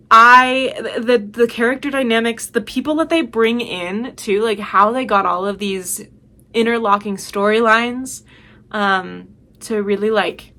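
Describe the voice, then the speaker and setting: Bright-voiced woman gushing in a vlog. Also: high voice. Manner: gushing, emphatic, chatty. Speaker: video host. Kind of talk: casual enthusiastic recommendation vlog.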